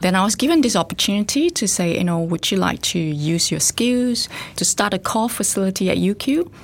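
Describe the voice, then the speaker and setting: A woman's voice: medium pitch, lively intonation, brisk. A middle-aged woman from Singapore, a scientist and facility director, chatting thoughtfully in an interview with frequent fillers and restarts. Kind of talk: casual interview chat.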